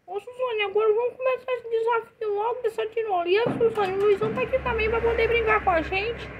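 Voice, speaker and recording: A girl voicing a squeaky boy doll with a cold. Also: steady tone, fairly quick, dull audio. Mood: eager. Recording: noisy home recording.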